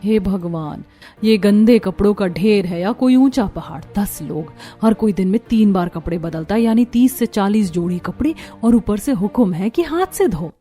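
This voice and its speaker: A woman's voice: medium pitch, expressive, moderate pace, mellow, faint music behind, natural voice. A woman playing an overworked, exasperated young daughter-in-law in a cartoon story, complaining indignantly. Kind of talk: Hindi cartoon story dialogue.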